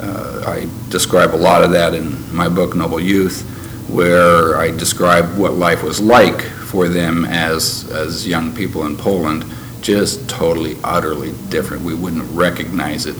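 An older man who grew up in Canada, recalling his past in an interview in a measured, reflective way with frequent pauses. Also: deep voice, flat delivery, a bit bright. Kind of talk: oral history interview. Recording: noisy background ambience.

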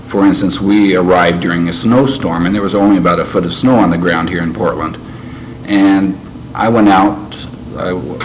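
Older guy, real low voice, kinda pausey and reflective, grew up in canada, noisy background. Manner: measured, reflective, with frequent pauses and restarts. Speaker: interviewee. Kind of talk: oral history interview.

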